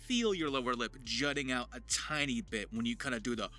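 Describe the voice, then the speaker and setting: A man presenting a voice acting tutorial to viewers in an animated, enthusiastic explaining manner. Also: medium pitch, a little fast. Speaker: voice actor, writer and video presenter. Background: music.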